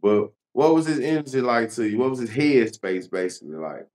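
A man's voice: medium pitch, lively intonation, a little fast, darkish tone. A man hosting a casual interview as a blogger, talking in a slangy, conversational and reflective way.

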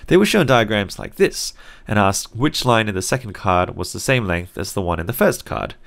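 A young man who grew up in Singapore but now speaks with an Australian accent. He narrates a psychology video lesson in a lower, slightly deep voice that moves up and down a lot. The delivery is clear and conversational, with a light wry edge. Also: lively intonation, moderate pace, a bit bright. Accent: Australian English, learned as an adult. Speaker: psychology teacher.

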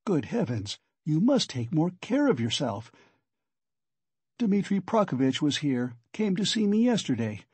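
A man's voice: a little high, very animated, unhurried, a little mellow, breathy edge, no room sound, full character voice. A man voicing a sly, chatty investigator in an audiobook, wheedling and exclaiming with theatrical concern. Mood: fussing, solicitous. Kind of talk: audiobook character acting.

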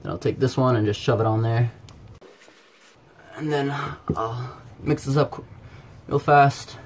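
A man with an average-pitched voice presents a casual how-to. He explains each step plainly and informally while he works. It is captured on a handheld camera, with noticeable ambient noise around him. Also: unhurried. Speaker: presenter of DIY how-to videos. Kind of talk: casual hands-on tutorial narration. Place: around a backyard tub and a workshop.

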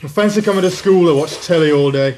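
A man's voice: fairly high pitch, fast talker, very noisy, lightly acted. An actor playing a stolid, plain-spoken policeman, dry and musing, in a radio comedy. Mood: dry, questioning. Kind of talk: radio comedy drama acting.

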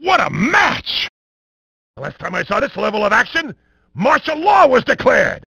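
An actor voicing a bombastic, wisecracking, gleefully violent sports announcer in a video game, with a gravelly, raspy voice and hammy delivery. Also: male voice, very high voice, huge pitch swings, normal speed, sharp tone, dry recording, exaggerated voice. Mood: excited, bellowing. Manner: hammy, wisecracking, often bellowing. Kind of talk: over-the-top sports commentary for a video game.

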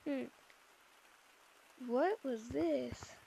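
A woman's voice: sits high, very slow, creaky, warm tone, a little hiss or hum, low-quality recording. A woman playing a VR game, chatting playfully to herself with sighs as she roleplays a shopping trip. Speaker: VR game player. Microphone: VR headset mic.

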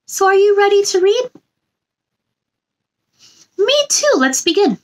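A woman in her thirties doing a children's read-aloud, with a gentle sing-song delivery and chatty asides addressed to young listeners. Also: extremely high pitch, sing-song, slow pace, faint music behind. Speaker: children's storytime host reading a picture book aloud. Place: small indoor room.

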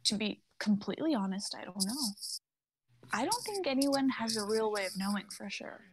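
A woman playing a gentle, thoughtful mother of thirty-one in a dramatic script reading. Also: mid-range voice, expressive, normal speed, husky. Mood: thoughtful, tender.

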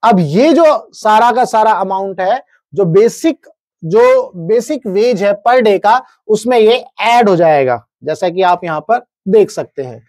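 A man's voice: high voice, lively intonation, takes it slowly, darkish tone, no room sound. A man in his thirties with an Indian accent, explaining methodically like a teacher, reading out figures and checking in with the viewer. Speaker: presenter explaining government wage notices. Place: indoor studio, standing at a large digital screen.

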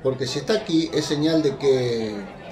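An actor playing a humble, curious, talkative fisherman disciple in a radio drama, with a folksy, animated manner. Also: mid-range voice, normal speed, crowd noise, natural voice. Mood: eager. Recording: echoey room with some background noise.

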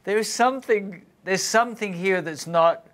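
A man's voice: sits high, measured pace, a bit bright. A man in his sixties leading a group Bible lesson in a TV studio, quizzing the class with questions, on a lapel mic. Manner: questioning, engaging, asks the class. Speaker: Bible study teacher. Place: TV studio set.

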